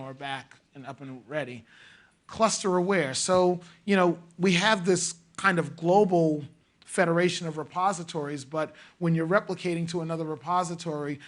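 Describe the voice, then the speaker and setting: A man giving a technical conference talk, explaining through rhetorical questions and frequent "you know"s. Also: a little high. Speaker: conference presenter. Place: conference room.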